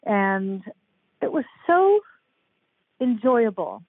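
A woman's voice: a little high, very animated, very slow, breathy edge, dry recording. Chatty older woman on a tinny line. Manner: chatty, frequent fillers and restarts, laughs easily. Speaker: guest author. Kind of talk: casual interview chat.

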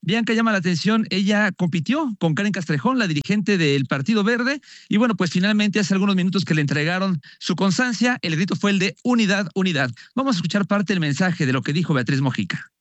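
A man's voice: sits high, animated, fairly quick, hardly any pauses, dry recording. Man reporting over a dull, dry line. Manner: conversational, hesitant restarts. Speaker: political reporter. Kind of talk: live news report.

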